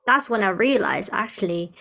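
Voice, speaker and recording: Young woman from Hong Kong, music therapist, animated and quick to laugh in casual discussion. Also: mid-range voice, creaky. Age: young adult. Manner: animated, laughs often, acts out quoted remarks. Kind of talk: conversational discussion.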